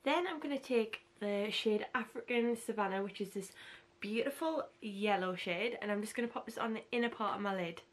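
A young woman in her twenties with a husky voice, chatting casually through a makeup tutorial in a small bedroom, gushing over products. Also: medium pitch, moderate pace. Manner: chatty and gushing, heavy use of "like". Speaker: beauty vlogger.

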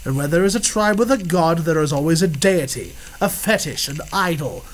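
A man's voice: fairly high pitch, animated, normal speed, a little hiss or hum, lightly acted. An actor playing a pompous, boastful male explorer in the manner of a 1930s radio serial. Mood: condescending. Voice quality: pompous, theatrical.

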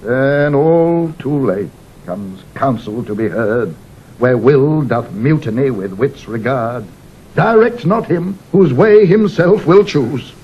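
A man's voice: lively intonation, takes it slowly, mellow, husky, lo-fi sound. An actor playing an embittered, disapproving nobleman, with emphatic declamation in a Shakespearean audio drama. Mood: bitter, disapproving. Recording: vintage 1960 recording with faint rumble.

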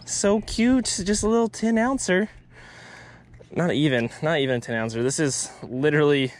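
Excitable young man narrating his fishing. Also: fairly high pitch, slight background noise. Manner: chatty and excitable, laughs often, talks to viewers as y'all. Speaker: fishing vlogger. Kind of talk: casual running commentary while fishing. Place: outdoors on a pond in a small boat. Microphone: head-mounted action camera.

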